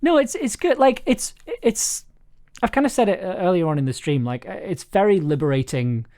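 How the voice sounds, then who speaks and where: A man's voice: high voice, animated, normal speed, a little mellow. Enthusiastic, rambling man hosting a livestream. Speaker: host, audio drama writer and producer. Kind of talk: casual livestream chat. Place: remote online call on a livestream.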